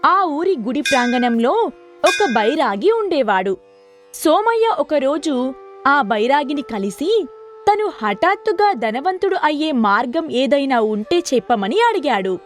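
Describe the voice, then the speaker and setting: A woman narrating a scripted moral story for children in an expressive, clearly phrased storytelling manner. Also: sits high, measured pace, over music.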